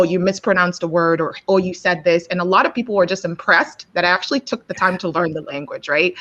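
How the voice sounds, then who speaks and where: A woman's voice: fairly low pitch, a bit bright. A woman from Nigeria and Kenya, a guest chatting casually and upbeat on a live online show. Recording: dull lo-fi sound.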